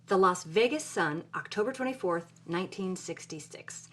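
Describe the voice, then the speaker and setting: An actress playing an amused investigator, explaining events in conversational storytelling narration. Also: female voice, on the low side, lively intonation, normal speed, fairly bright tone.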